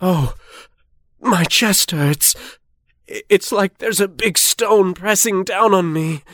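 A man voicing a sentimental, excitable emperor in a theatrical way for a children's fairy tale. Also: high-pitched, slow, dry recording, clean studio sound. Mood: weak, pained.

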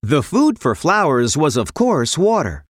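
A woman reading a scripted children's fairy tale as an expressive storyteller. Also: low voice, a little slow, no room sound, studio quality. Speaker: narrator.

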